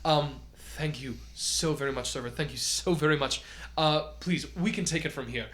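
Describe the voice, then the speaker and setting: An effusive gentleman host, fussing and gushing over his guest, his voice sitting a little high, as a man improvises him in a tabletop game. He tumbles through apologies and compliments in a fawning, excitable rush. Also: moderate pace, close to an everyday voice. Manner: effusive, flustered, overly polite. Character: eager, gushing male wine host.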